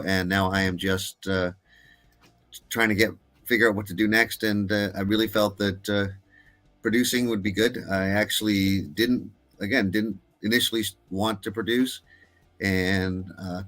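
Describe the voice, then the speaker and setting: A middle-aged man talking casually as an interview guest, pausing often with fillers and restarts. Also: on the low side, a bit bright. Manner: hesitant, with many uh fillers and restarts. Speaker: guest filmmaker.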